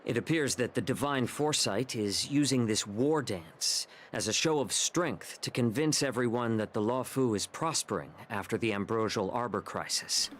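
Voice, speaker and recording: An actor playing a polite, sly military healer in a video game, eloquent and gently sardonic. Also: male voice, medium pitch, moderate pace, few pauses, slight background noise, lightly acted. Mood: grave. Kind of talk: scripted game voice acting. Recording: game music behind.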